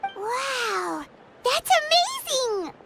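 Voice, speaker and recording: Tiny fairy companion, very high childlike voice, lively melody, bubbly, excitable, anime game dialogue. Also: female voice, drawn-out words, vocal fry, very noisy, full character voice. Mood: amazed. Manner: squeaky, refers to herself in third person.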